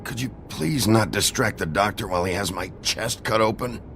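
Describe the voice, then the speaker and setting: An actor playing a tough, wounded, stoic sheriff in a video game, gruff, guarded and terse. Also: male voice, fairly low pitch, normal speed, fairly bright tone, soft background music. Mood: wary, irritated.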